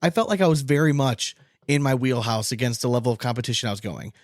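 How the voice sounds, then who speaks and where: An American man with a mid-pitched voice speaks in a dry recording with no room sound. He hosts in a casual, frank style, giving opinions and often checking that the listener follows. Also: fast talker, dry recording. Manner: informal, frank, peppers talk with check-in phrases. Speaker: podcast host.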